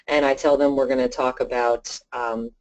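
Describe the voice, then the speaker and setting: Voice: mid-range voice, flat delivery, normal speed, highs cut off. An insurance sales trainer talking informally on a conference call, acting out snippets of client dialogue. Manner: informal, chatty, role-plays client dialogue.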